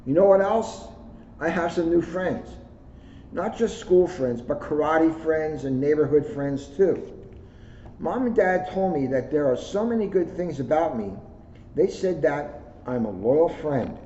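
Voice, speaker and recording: Male police officer, storybook read-aloud. Soft-edged mellow tone, average pitch, jokey delivery, echoey room with faint noise. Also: animated, measured pace. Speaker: police officer reading a children's book aloud. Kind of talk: children's book read-aloud with casual asides. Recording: roomy echo, muffled audio, faint noise.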